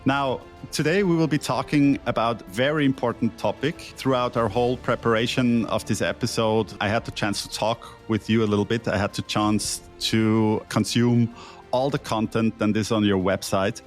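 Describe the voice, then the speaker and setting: A man's voice: medium pitch, fairly even intonation, faint music behind. A man from Switzerland, a senior emergency physician hosting a podcast and asking formal, carefully prepared questions. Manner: formal, earnest, deliberate. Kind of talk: hosted interview, prepared questions.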